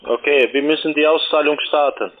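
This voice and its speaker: A man who is a non-native speaker, giving a scripted pitch as a call centre caller. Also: steady tone, fairly quick. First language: not German. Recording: muffled phone line.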